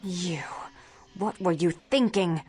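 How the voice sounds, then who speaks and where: A woman's voice: fairly low pitch, slow pace, darkish tone, faint music behind. A woman playing a stern, imperious vampire club owner in a video game, with formal, articulate speech. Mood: furious.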